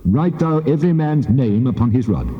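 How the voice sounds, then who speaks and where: An actor playing God in dramatized scripture, solemn, commanding and deliberate, with a cavernous reverb on his voice. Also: mid-range voice, lively intonation. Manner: solemn, deliberate, authoritative pronouncements. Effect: heavy cavernous reverb. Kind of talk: dramatized scripture, archaic English. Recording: muffled, reverberant hall, old tape.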